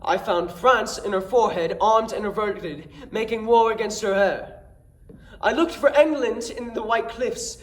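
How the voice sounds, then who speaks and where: A man playing an exasperated, mocking Shakespearean manservant in an animated, declamatory comedy monologue. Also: sits high, pitched above the performer's own voice. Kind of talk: theatrical classical comedy monologue.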